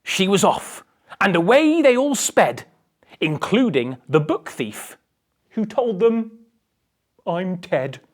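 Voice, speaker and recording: A woman's voice: mid-range voice, very animated, slow. A woman reading a children's picture book aloud with theatrical, dramatic emphasis. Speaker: storybook reader.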